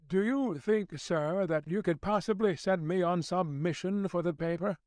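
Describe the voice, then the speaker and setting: Man reading as an earnest young reporter, highish voice. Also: normal speed, a little mellow, dry recording, lightly acted. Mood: earnest, pleading. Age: 20s. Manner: earnest, polite. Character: ardent, eager young male newspaper reporter. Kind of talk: audiobook character dialogue.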